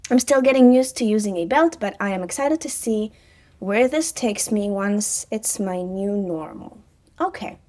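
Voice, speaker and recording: A woman's voice: medium pitch, animated, normal speed, dark tone. A woman, chatting casually on her vlog with sarcastic asides and frequent fillers. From Israel. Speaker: vlogger, personal trainer.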